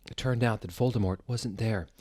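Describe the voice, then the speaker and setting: A man's voice: fairly low pitch, a little fast, darkish tone, no echo, studio quality. A man hosting a comedic dramatic reading, theatrical in delivery and dropping amused, exasperated asides.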